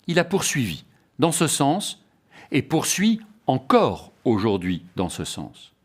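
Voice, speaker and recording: A man presenting a formal, scripted explanatory lecture in a didactic, carefully structured way. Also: mid-range voice.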